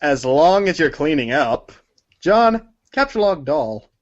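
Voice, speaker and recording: A man's voice: fairly high pitch, very animated, slow pace. A man narrating a read-along story in the second person, giving commands to a character. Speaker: narrator of a group reading. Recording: dull lo-fi audio.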